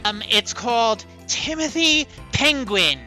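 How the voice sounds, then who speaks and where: A woman's voice: fairly high pitch, expressive, takes it slowly, bright, forceful tone, faint music behind. A woman chatting playfully as a presenter on a children's show.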